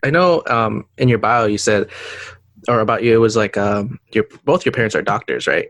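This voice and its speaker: A man hosts a casual interview, asking questions in a relaxed way and prompting his guest along. The recording is dry and close, with no room sound. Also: on the low side, moderate pace, no echo.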